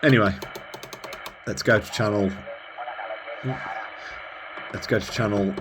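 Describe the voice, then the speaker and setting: A man's voice: on the low side, fairly even intonation, takes it slowly, crowd noise, rough recording. A man giving a casual hands-on demonstration of gear, rambling a little and thinking aloud as he works. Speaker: radio hobbyist presenter. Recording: clear background noise.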